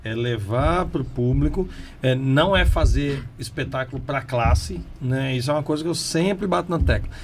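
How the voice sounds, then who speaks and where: Brazilian man, interview host, earnest, agreeable, mid-pitched, faint noise behind. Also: moderate pace, darkish tone. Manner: earnest, agreeable, frequent short affirmations. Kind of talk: conversational interview.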